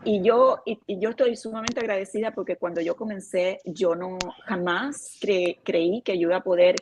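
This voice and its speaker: Expressive woman on a video call panel. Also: medium pitch, moderate pace, background noise. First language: Spanish. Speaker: author and illustrator panelist. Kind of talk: casual panel chat.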